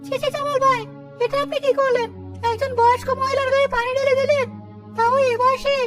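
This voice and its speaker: Man as a very high-voiced, mild-mannered customer. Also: flat delivery, brisk. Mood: alarmed, reproachful. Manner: polite, pleading, moralizing. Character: mild, reasonable male customer gently reproaching. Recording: clear background music throughout.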